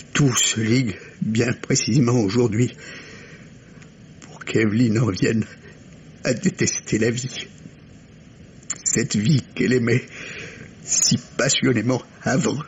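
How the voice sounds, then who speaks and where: A medium-pitched, middle-aged father played by an actor speaks with grave restraint and formal courtesy. The old radio-drama sound is lo-fi and slightly noisy. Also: fairly even intonation, slow pace, pauses often, close to an everyday voice. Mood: restrained grief. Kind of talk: 1950s French radio drama acting.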